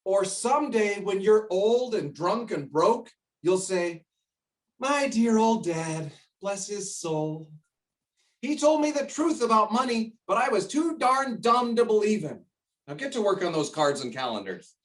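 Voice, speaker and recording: A man's voice: high voice, expressive, distant mic. A man playing a bossy, greedy middle-aged shopkeeper father in a stage play, blustering and drawing out his words. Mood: scornful, nagging. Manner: blustering, emphatic, drawn-out words. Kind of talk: stage play acting over video call.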